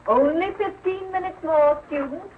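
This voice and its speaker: An actress playing a strict, prim night-school teacher with a formal, authoritative manner in a vintage sitcom. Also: female voice, very high-pitched, moderate pace. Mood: brisk, commanding. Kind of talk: 1940s television sitcom acting. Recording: muffled, hissy old 1940s broadcast.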